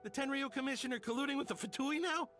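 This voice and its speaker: An actor playing a nervous, defensive and deferential merchant in an animated video game, evasive and pleading. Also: male voice, extremely high pitch, fast talker, soft background music. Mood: surprised, defensive.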